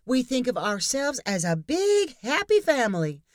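Woman doing a pushy middle-aged neighbor lady, loud and gushing, way up high, swooping, overbearing. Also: sing-song, normal speed, no room sound, clean studio sound.

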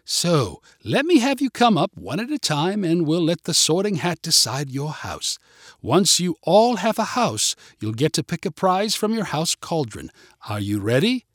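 A man's voice: fairly high pitch, very animated, measured pace, a bit bright, dry recording, clean studio sound, voice pushed up, exaggerated voice. A man voicing an earnest young woman who talks like a prim British schoolteacher in a storybook. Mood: welcoming. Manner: prim, lecturing, sweet.

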